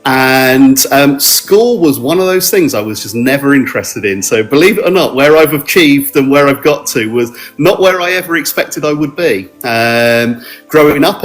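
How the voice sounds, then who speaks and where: A middle-aged man from South London with a South London accent, talking modestly in an interview and pausing often with ums and restarts. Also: medium pitch, rough recording. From South London, England. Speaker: mortgage adviser guest. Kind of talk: relaxed podcast interview.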